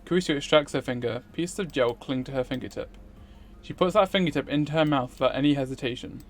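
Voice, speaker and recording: A man's voice: medium pitch, fast talker, faint music behind. A man streaming a visual novel, reading its narration aloud in a dramatic style.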